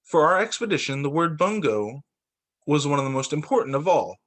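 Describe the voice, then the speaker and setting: A man, a teacher, reading a picture book aloud to students in an expressive, deliberate storytelling way. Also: a little high.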